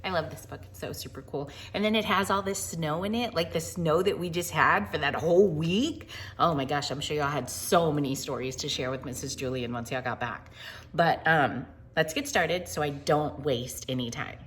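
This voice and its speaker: An American woman reading a storybook aloud to children in an informal, chatty and expressive way. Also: low voice, normal speed. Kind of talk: storybook read-aloud with casual asides to children.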